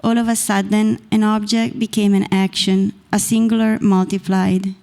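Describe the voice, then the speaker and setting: A woman in her thirties from Italy with an Italian accent, reading her poetry slowly and deliberately into a stand mic on a small stage. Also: medium pitch, little pitch movement, gravelly, a little mellow. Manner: measured, deliberate reading from a page. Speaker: poet reading her work. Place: small stage in a dark performance venue. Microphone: stand mic close to the mouth.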